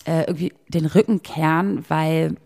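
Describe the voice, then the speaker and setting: A woman's voice: sits low, steady tone, normal speed, creaky, darkish tone. A young adult woman chatting casually as a podcast co-host, in slangy speech sprinkled with English words. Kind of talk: casual chat.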